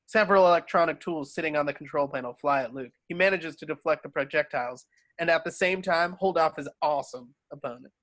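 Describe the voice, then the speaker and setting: Higher-pitched male script narrator. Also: quick pace, a bit bright, no echo. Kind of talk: scripted narration, reading stage directions.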